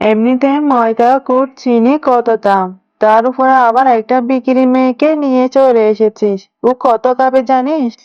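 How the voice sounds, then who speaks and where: A woman's voice: high-pitched, fairly even intonation, moderate pace, no echo, natural voice. A woman voicing a scolding, stingy tigress mother in a children's cartoon, grumbling as she talks. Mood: scolding, irritated. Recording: dull lo-fi audio, music behind. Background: background music.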